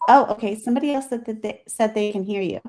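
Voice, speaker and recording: A woman hosting a live online interview in a chatty way, full of "like" and "um", her voice a little raspy from talking all morning. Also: on the high side, quick pace, dark tone, dry recording, studio quality. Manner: chatty, filler-heavy, says "like" often. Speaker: host of live interview series. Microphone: video call.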